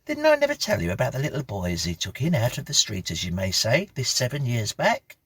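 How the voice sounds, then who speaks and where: Man acting a gossipy middle-aged country housekeeper, higher-pitched. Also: huge pitch swings, a little fast, fairly bright tone, breathy edge, no echo, heavily acted. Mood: chatty. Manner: gossipy, rambling, rustic dialect phrasing. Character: chatty, comfortable country housekeeper woman of fifty-five.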